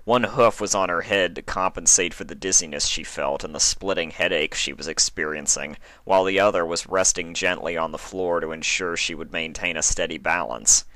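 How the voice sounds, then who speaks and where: A man's voice: low-pitched, moderate pace, few pauses, sharp tone, dry recording. A man narrating a written story as a dramatic reading. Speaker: fan fiction reader.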